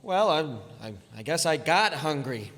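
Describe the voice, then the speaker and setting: An actor playing an evasive teenage son in a family radio drama, stammering around the truth. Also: male voice, fairly high pitch, very animated, normal speed, fairly bright tone. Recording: reverberant live hall.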